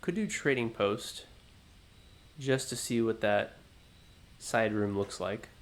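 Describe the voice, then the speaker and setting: A man hosting a let's play, thinking aloud in an unhurried, musing way, his voice low and groggy from just waking up. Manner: musing, unhurried, self-talking. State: low morning voice, just woken up. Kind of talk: thinking-aloud gameplay commentary.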